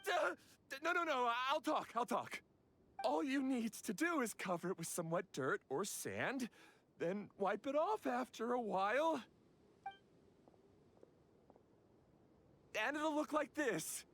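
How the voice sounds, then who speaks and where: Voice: mid-range voice, lively intonation, a little slow, fairly bright tone, a little hiss or hum. An actor playing a flustered, hesitant bandit lackey in a video game, eager to explain everything. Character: nervous, eager-to-talk bandit lackey pleading for mercy.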